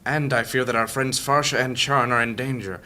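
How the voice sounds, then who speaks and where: A man playing an elderly, courteous and reasonable mage in a fantasy audio drama, speaking formally and with measured argument. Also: medium pitch, fairly even intonation, pushed and bright. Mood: courteous, weary.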